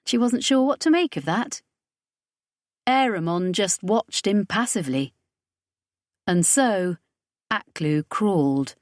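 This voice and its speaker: A woman's voice: mid-range voice, expressive, slow, pauses often, no echo. A woman reading scripted narration from a science fiction audiobook.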